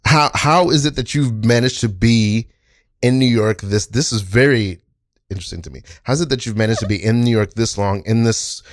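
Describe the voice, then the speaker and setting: A man hosting a casual comedy panel chat, talking in a halting, excitable way and often repeating himself. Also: mid-range voice, no echo, clean studio sound. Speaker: improv podcast host.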